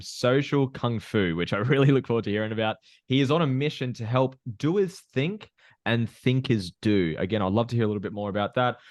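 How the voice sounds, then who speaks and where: A man's voice: mid-range voice, dry recording, studio quality. A man hosting a podcast, praising his guest effusively and enthusiastically. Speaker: podcast host. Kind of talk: casual interview chat.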